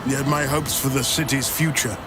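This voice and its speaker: A man's voice: mid-range voice, steady tone, moderate pace, lightly acted. An actor playing a dignified, weary nobleman father in a fantasy game, formal and stately. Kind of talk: dramatic game dialogue. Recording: loud music over voice.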